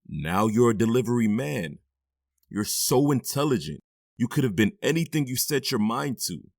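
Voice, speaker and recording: A man's voice: medium pitch, big pitch swings, normal speed, creaky, a little mellow, dry recording, studio quality. An actor playing a firm but loving middle-aged stepfather who sighs often and talks wearily. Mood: stern. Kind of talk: audio drama acting.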